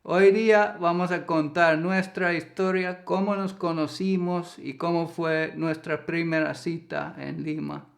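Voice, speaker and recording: Guy, slow flat careful spanish, gravelly, echoey room. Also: fairly high pitch. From Finland. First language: Finnish. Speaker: co-host of a couple's channel. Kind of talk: casual storytelling vlog.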